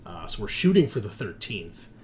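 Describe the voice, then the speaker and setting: A man's voice: lowish voice, sing-song, moderate pace, crowd noise. A man hosting a tabletop game as game master, bantering casually with teasing and swearing.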